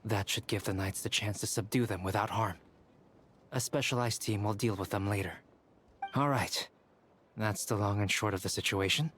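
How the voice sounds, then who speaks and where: A man voicing a composed, clinical young alchemist in a video game, measured and formal. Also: fairly low pitch, a bit bright, slight background noise, close to an everyday voice. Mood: decisive. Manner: measured, matter-of-fact, formal. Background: game music.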